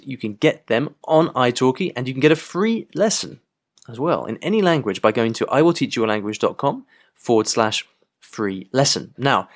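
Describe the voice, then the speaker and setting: Chatty English man hosting a podcast. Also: medium pitch. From England. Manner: chatty, loose, full of "you know" fillers and restarts. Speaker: podcast host. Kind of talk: casual podcast chat. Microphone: broken microphone with poor sound.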